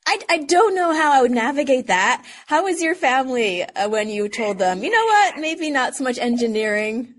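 A woman co-hosting a radio interview, friendly and quick to laugh. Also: high voice, sing-song. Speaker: radio co-host.

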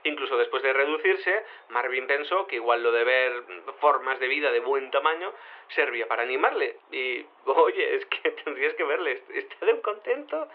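An actor with a Spain accent playing an enthusiastic, rambling amateur case-solver who stammers and repeats himself in a naturalistic audio comedy. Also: male voice, mid-range voice, expressive, normal speed, lightly acted. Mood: cheerful, flustered. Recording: tinny phone line.

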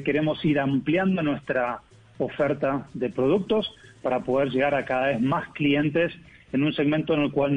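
A man with an Argentine accent, a car company executive speaking as a guest in a radio interview by phone, in measured corporate phrasing with fillers. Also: fairly high pitch. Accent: Argentine Spanish. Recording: tinny phone line.

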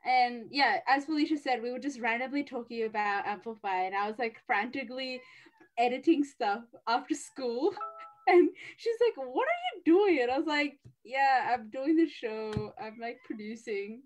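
A woman's voice: fairly high pitch, expressive, moderate pace. A young woman hosting a student radio show, bubbly and laughing often; her first language is Tamil. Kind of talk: casual chat.

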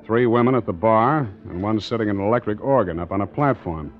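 An actor playing a tough, wary American sea captain and narrator in a 1940s radio drama, with a clipped, wry delivery. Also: male voice, fairly low pitch, brisk, lightly acted. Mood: dry, hard-boiled narration. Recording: dull old radio recording, organ music.

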